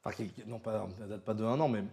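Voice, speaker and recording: A man's voice: lowish voice, steady tone, rapid-fire, darkish tone. A French man hosting a casual, chatty interview, informal and prompting, often jumping in with half-finished sentences. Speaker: interviewer, host.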